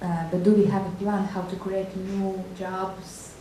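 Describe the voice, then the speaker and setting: A woman's voice: medium pitch, flat delivery, unhurried, dark tone. A woman moderating a live discussion with a probing, insistent manner. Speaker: panel moderator. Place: indoor panel discussion room. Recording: very echoey room, faint noise.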